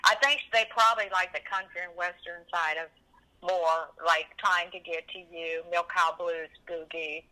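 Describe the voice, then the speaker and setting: A woman in her seventies reminiscing as an interview guest, animated and emphatic, often rambling and repeating herself. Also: lowish voice, normal speed, low-quality recording. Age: 70s.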